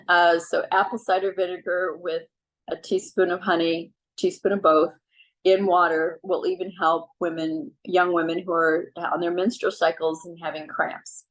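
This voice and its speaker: Chatty middle-aged woman, lowish voice. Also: rough recording. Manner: relaxed, chatty, frequent ums. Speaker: wellness speaker. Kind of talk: casual advice talk on a video call. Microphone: video call.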